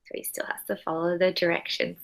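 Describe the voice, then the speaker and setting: A woman's voice: fairly low pitch, monotone, a little fast, creaky, slight background noise. A woman teaching young children, reading a storybook aloud expressively and chatting to them in conversational asides. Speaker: early primary school teacher.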